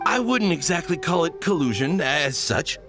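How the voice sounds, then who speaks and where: An actor playing an evasive, deferential soldier in a video game, hedging and defending himself. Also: male voice, fairly high pitch, lively intonation, moderate pace, music in the background, lightly acted. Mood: defensive. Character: evasive, deferential male soldier making excuses to his superior. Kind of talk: scripted game dialogue.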